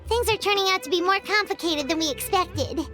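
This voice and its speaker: A woman voicing a small, excitable, childlike female fairy companion with a squeaky voice in a video game. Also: brisk, music in the background. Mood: worried. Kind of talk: cartoonish video game acting.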